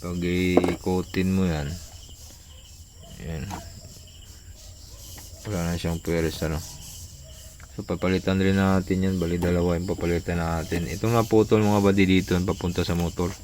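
A man's voice: low-pitched, level tone, moderate pace, long pauses, darkish tone, a little hiss or hum. A man casually explaining a hands-on repair, informally tossing in short tag questions, like a tutorial vlogger.

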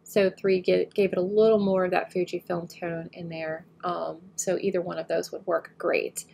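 A woman getting over a head cold, slightly nasal, talking through a photo editing tutorial as she works and often trailing off quietly. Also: fairly low pitch, flat delivery, moderate pace, vocal fry, slight background noise. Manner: thinks aloud while working, often trailing off quietly. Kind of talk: screen-recorded editing tutorial.

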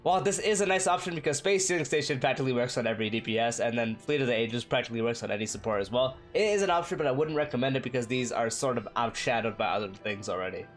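A man's voice: mid-range voice, soft background music. A man with a cold, sounding nasal, giving a casual game guide voice-over. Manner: fast, energetic, chatty. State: sick, nasal.

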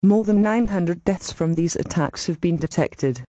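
A woman's voice: lowish voice, measured pace, mellow, no echo, close to an everyday voice. A woman playing a sober television newsreader delivering scripted breaking news. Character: sober TV newsreader reporting an attack. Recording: slightly dull, dry recording.

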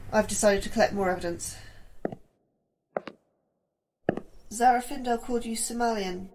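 A woman's voice: medium pitch, a little mellow, slight background noise, lightly acted, rough recording. Woman playing an anxious, earnest scientist. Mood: anxious, pleading. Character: anxious female astrobiologist, earnest and frightened. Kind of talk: sci-fi horror audio drama acting.

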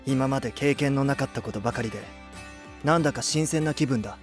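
A dependable young band vocalist in an anime audio drama, performed by a man. He sounds composed and serious at a medium pitch, with light music behind him. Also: fast talker, close to an everyday voice. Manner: composed, matter-of-fact. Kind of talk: Japanese anime voice drama acting.

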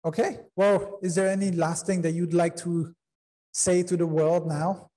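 A young man in his twenties conducts an interview in a high, soft-edged, mellow voice. His delivery is polite, and the sound has a long echo. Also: steady tone, unhurried. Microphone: desk microphone on boom arm. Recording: echoey, reverberant sound.

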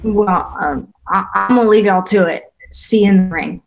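A woman's voice: medium pitch, moderate pace, gravelly, close to an everyday voice, low-quality recording. A woman playing a young, awestruck female fighter in a script table read, casual and a little hesitant. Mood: awkward, admiring. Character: young wiry female fighter, awestruck yet sizing up rivals.

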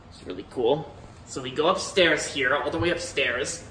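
A young man with a slightly high voice walks through his new house with a phone camera and points things out as he goes. His talk rambles, with words repeated and sentences restarted. It sounds casual and unscripted, recorded on the phone inside the home. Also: normal speed, bright, forceful tone, slight background noise, rough recording. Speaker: vlogger giving a house tour. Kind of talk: casual house tour narration. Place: indoors in a house, walking from room to room. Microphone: handheld phone camera held by the speaker, off screen.

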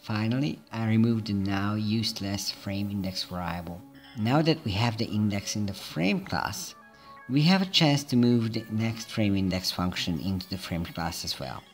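Man, low voice, methodical screencast narration, faint music underneath. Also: normal speed. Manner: calm, methodical, explaining each step. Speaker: programming tutor. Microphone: voice-over over screen recording. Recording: soft background music.

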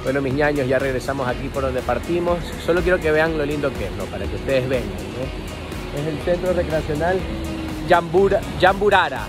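A man's voice: a little high, normal speed. A man, chatting jokily to his phone camera outdoors in a casual travel vlog. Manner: chatty, jokey, laughing often. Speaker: vlogger. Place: outdoors at a riverside park. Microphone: phone camera at arm's length. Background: background music.

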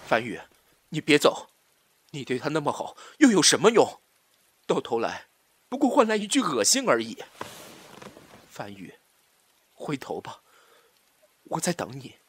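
An actor playing an obsessive, scheming jilted lover in a Chinese audio drama, swinging from soft pleading to taunting menace. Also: male voice, fairly low pitch, sing-song, slow, long pauses, breathy edge, full character voice. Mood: plaintive coaxing.

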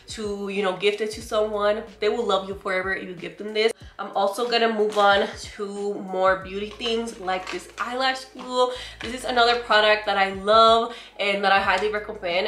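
A young woman with a loud voice, chatting emphatically to the camera from her bedroom as she reviews beauty products and keeps calling her viewers "girl.". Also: mid-range voice, roomy sound. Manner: chatty and emphatic, often says "girl" and "you guys". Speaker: beauty vlogger. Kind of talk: casual product haul talk to camera. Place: bedroom, indoors.

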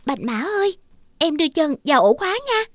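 A woman's voice: sits high, sing-song, fairly quick, background noise. A woman playing a kind, polite, earnest young girl in a scripted children's fairy tale. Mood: eager.

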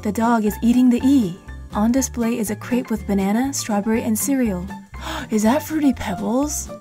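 A woman's voice: fairly high pitch, moderate pace. A woman giving cheerful, punny scripted voice-over narration for a food vlog. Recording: music bed under the voice.

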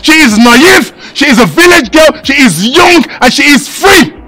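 A man's voice: very high voice, brisk, a bit bright. A man with a Nigerian accent playing a jealous, domineering husband in a film drama, scolding and commanding and repeating himself. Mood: angry, threatening. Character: jealous, domineering husband guarding his young village wife.

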